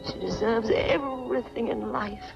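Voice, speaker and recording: An actress playing a mousy older aunt who is a coldly menacing murderess, speaking deliberately in 1940s film style. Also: female voice, a little high, fairly even intonation, vocal fry, over music. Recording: dull 1940s film soundtrack from VHS. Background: prominent film score.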